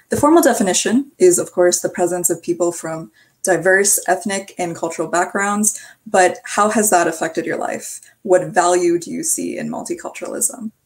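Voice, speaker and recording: A young woman from Canada hosting a casual group discussion over a video call, passing the word from guest to guest. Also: on the low side. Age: young adult. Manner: friendly, quick hand-offs between guests. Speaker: host.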